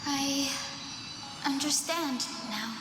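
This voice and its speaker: A woman playing a gentle, earnest young healer in an anime-style game, speaking with compassion and clear words. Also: high-pitched, drawn-out words. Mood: tender, solemn. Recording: echoey reverb, game music behind. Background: loud game music.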